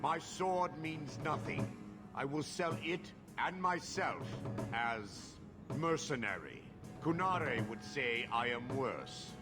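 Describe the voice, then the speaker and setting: An actor playing a proud, self-scorning outcast warrior in a video game, speaking in formal, clipped, deliberate sentences. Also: male voice, medium pitch, animated, loud music behind the voice. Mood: proud, bitter. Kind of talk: dramatic video game acting.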